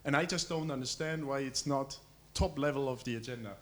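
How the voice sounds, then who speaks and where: A man's voice: medium pitch, fairly quick. A man in the seminar audience arguing a point and asking a direct question of the panel.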